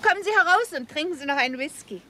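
Seductive, high-voiced Hollywood film star. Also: female voice, lively intonation, fast talker. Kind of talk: 1960s German radio crime drama acting. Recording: 1960s radio recording, faint background noise.

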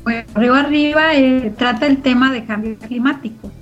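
A woman's voice: high-pitched, moderate pace, faint music behind. A woman explaining things as a guest in a radio interview. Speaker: communications center representative, interview guest. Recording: dull, lo-fi radio audio.

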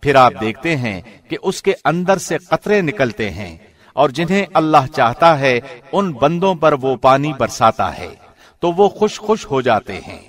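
Guy reading a scripted translation in a studio, calm measured delivery, mid pitch voice. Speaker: translation narrator. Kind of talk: scripted narration. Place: recording studio.

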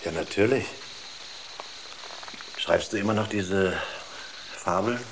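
A man's voice: deep voice, slow pace. An actor in a German radio drama playing a worried, insistent spaceship crewman. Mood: probing. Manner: earnest, insistent. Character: worried, urgent male spaceship crewman arguing with colleagues. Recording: clearly noisy ambience behind the voice.

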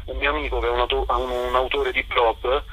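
A man with a slightly husky voice, chatting informally as an interview guest. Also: mid-range voice, flat delivery, a little fast, sharp tone, no room sound. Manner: chatty, informal, peppered with tags. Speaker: actor and playwright, interview guest. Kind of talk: casual radio interview. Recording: dull lo-fi telephone interview.

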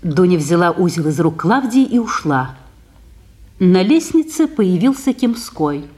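A woman's voice: lowish voice, very animated, measured pace, darkish tone. A woman reading scripted narration of the stage action in a radio broadcast of a play.

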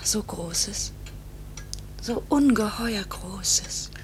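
An actress playing a pilot's anguished young wife in a 1950s German radio drama, pleading and accusing in a halting, emotional, breathy delivery. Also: female voice, mid-range voice, animated. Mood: wistful, tender. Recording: hissy 1950s radio recording, faint hum.

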